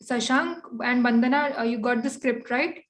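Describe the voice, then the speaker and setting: A woman's voice: on the high side, moderate pace. A woman with an Indian accent hosting an online meeting in casual, chatty talk, often checking with okay and right. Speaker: meeting host. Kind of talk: casual organising chat. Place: online video call.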